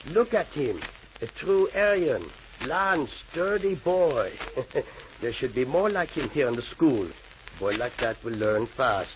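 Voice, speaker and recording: A stern, domineering Nazi official barks clipped orders in an old 1940s radio play. His voice is mid-pitched, and the recording is muffled with clear background noise. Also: lively intonation, slow pace. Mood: haughty. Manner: imperious, clipped commands. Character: stern, domineering Nazi German school official, adult man. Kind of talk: 1940s radio drama acting.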